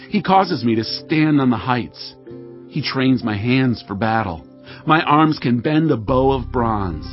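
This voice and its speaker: Devout warrior king, solemn scripture recital, sweeping intonation, music behind. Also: medium pitch. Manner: solemn, fervent, declamatory. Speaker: Bible voice actor. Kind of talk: dramatized scripture reading. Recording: dull audio with music behind.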